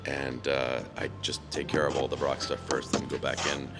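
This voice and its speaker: A middle-aged male voice actor with a slightly hoarse, froggy voice, rambling in a relaxed, self-deprecating way at a convention Q&A. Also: rumbling low, normal speed, over loud music. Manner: rambling and self-deprecating, with frequent restarts and fillers. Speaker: voice actor guest at a fan convention.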